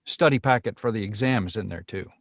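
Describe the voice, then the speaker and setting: A man's voice: on the low side, quick pace, gravelly, no echo, studio quality. A man playing a seedy, evasive agency boss in his fifties, with a hesitant salesman's manner, at a screenplay table read. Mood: evasive, businesslike.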